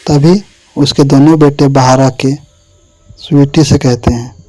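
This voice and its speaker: A man's voice: mid-range voice, steady tone, measured pace, a little mellow, no room sound, faint music behind. A man giving a scripted narration of a horror story.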